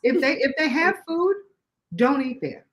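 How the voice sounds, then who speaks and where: A woman guest in a casual talk, playful and joking, a little rough from a cough. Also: sits high, expressive. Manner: playful, quick with jokes and mock voices. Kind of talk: casual chat.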